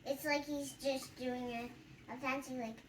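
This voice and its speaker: A child reading a picture book aloud, adding chatty, giggly, excitable commentary. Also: sits high, measured pace. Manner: giggly, excitable, acts out characters' lines. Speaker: storytime reader. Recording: roomy, distant mic, background noise.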